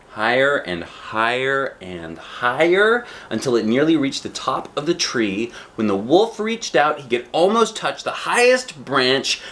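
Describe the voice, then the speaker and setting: A man reading a storybook aloud to a child, with dramatic drawn-out phrasing and casual asides. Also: lowish voice, fairly bright tone. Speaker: dad reading a picture book to a child.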